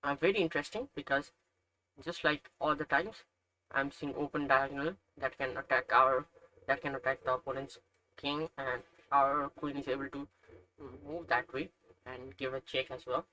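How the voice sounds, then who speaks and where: A man's voice: mid-range voice, steady tone, moderate pace, bright, forceful tone, rough recording. A young man from Nepal with a Nepali accent, talking through chess puzzles, thinking aloud with frequent hesitations and self-corrections. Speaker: chess learner narrating puzzles. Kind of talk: casual screen-recorded commentary.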